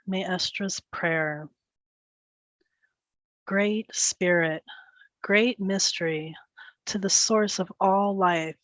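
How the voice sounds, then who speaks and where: A woman leading a guided meditation, speaking gently and soothingly in short phrases with pauses between them. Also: medium pitch, no room sound.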